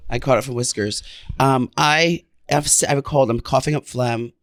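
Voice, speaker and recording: A man's voice: medium pitch, steady tone, moderate pace, no echo, clean studio sound. A man co-hosting a podcast in casual chat, stuffy with a cold and camp and playful. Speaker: podcast co-host.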